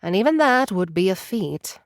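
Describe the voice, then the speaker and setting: An actress playing a calm, knowing advisor who explains things in a measured, dry way. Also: female voice, mid-range voice, dry recording. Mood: explaining.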